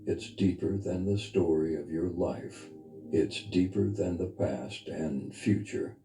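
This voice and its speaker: A man leading a guided meditation as a spiritual teacher, speaking in a soft, intimate, earnest and unhurried way. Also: sits low. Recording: reverberant voice over background music. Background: music.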